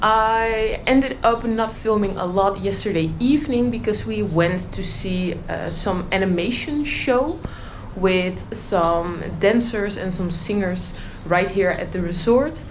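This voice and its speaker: A woman travel vlogger chatting casually to the camera, slightly croaky from just waking up, at a holiday resort. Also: mid-range voice, a little slow, a little hiss or hum. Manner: chatty, relaxed. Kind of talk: casual vlog talk to camera.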